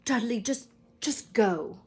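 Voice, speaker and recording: A woman's voice: a little high, expressive, slow pace, dark tone, husky, exaggerated voice. A woman voicing a flustered, stubborn, angry middle-aged uncle in a storybook, with blustering force. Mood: flustered anger.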